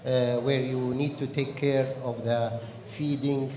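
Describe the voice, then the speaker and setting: A man, a horse breeder answering on a panel in halting, simple English. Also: mid-range voice, little pitch movement, warm tone. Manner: halting, simple non-native English phrasing. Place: large conference hall. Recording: echoey, reverberant conference hall.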